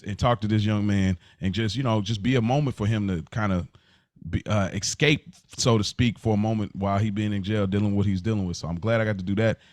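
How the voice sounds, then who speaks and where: Male American podcast host, lowish voice, dry recording, informal and sincere storyteller. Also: no room sound. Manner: informal, slangy, earnest storytelling. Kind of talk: casual podcast conversation.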